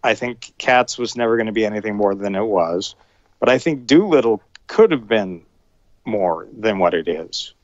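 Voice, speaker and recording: Man, podcast co-host, halting speech, dry close sound, slightly dull lo-fi audio. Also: lowish voice, animated, darkish tone, no echo. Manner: halting, many restarts. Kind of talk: casual chat, film review.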